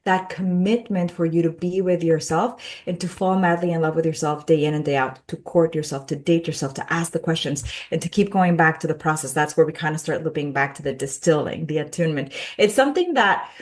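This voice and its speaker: A woman's voice: deep voice, brisk, hardly any pauses. A woman with a Mexican accent speaking in an interview, emphatic and repetitive, stacking up lists of phrases, sounding a little tired. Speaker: guest, self-love mentor. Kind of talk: heartfelt interview talk.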